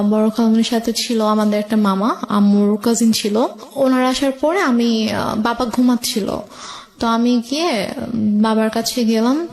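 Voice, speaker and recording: A woman's voice: a little high, darkish tone. A woman with a Bangladeshi accent, a guest recounting her own life story in conversation.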